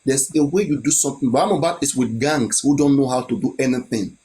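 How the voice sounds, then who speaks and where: Male guest, mid-pitched, insistent and argumentative, rhetorical questions. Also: a little fast, warm tone, a little hiss or hum, low-quality recording. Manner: insistent, repeats points, asks rhetorical questions. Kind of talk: animated opinionated chat.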